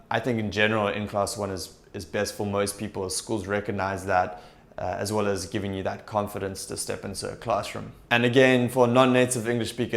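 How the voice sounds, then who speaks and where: A young man talking straight to camera in a small room, explaining things in a steady, upbeat way. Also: fairly low pitch. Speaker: TEFL recruiter and vlogger. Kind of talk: direct-to-camera advice talk. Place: small indoor room with shelves.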